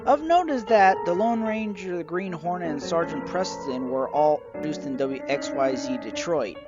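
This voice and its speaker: A man's voice: on the high side, dull audio. Young man narrating over music. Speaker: collector reviewing a radio show collection. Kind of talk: scripted show-and-tell narration.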